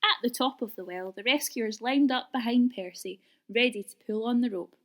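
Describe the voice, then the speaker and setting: A woman reading a children's story aloud in an expressive, deliberate way. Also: fairly high pitch. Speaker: storybook reader.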